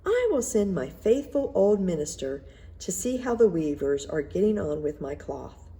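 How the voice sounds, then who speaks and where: A woman's voice: fairly low pitch, very animated, normal speed, warm tone. A woman reading the part of a vain, fretful emperor in a storybook tale. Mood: decided. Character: vain, fretful male emperor fond of finery.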